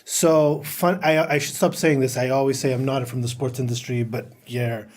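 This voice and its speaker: A middle-aged man who grew up in Greece, a podcast guest telling anecdotes in a relaxed interview chat. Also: mid-range voice, normal speed, a little hiss or hum. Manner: storytelling, anecdotal, laughs while talking.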